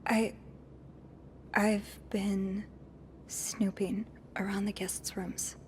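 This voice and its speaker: An actress playing a meek, evasive hotel maid in a 1950s mystery game, speaking hesitantly. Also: female voice, medium pitch, little pitch movement, very slow, slight background noise. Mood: sheepish.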